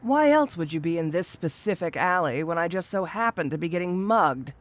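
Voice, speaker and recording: A woman's voice: on the low side, expressive, normal speed, close to an everyday voice. A woman playing a flirty, dangerous cat burglar supervillain in an audio roleplay, teasing and sardonic with amused asides. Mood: suspicious, challenging. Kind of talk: scripted audio roleplay acting addressed to the listener.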